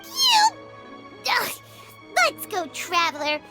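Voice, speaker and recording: A woman voicing a tiny, excitable, childlike fairy companion in a video game, with a squeaky, cheeky, exclamatory delivery. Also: slow pace, over loud music, exaggerated voice. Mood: indignant. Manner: refers to self in third person, exclamatory, cheeky.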